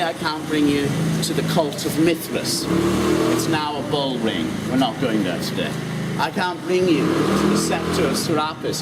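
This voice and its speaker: Male walking-tour guide, animated and quizzing, distant outdoor recording with traffic noise. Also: fairly high pitch. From Ireland. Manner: lively, quizzes the group with questions. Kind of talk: walking tour talk to a group. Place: outdoors in old city streets. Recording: distant mic, street noise.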